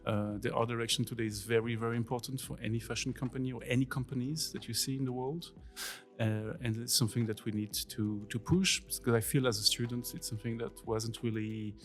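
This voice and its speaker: A Swiss man in his forties talks through his answers with lots of "uh"s and "um"s and slightly non-native phrasing. His pitch sits at an ordinary middle height. Soft music plays faintly underneath. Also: level tone, fairly quick. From Switzerland. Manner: hesitant, many fillers, non-native phrasing. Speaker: guest workshop teacher. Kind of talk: casual interview.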